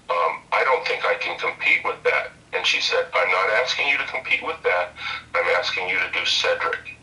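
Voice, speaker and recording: A middle-aged man with a deep voice telling a rambling story on a video call, repeating words as he goes. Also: sharp tone, distant mic, slight background noise, poor audio. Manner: rambling, repeats words, quotes others in his own voice. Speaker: interview guest, former game programmer and voice actor. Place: home room with bookshelves, indoors.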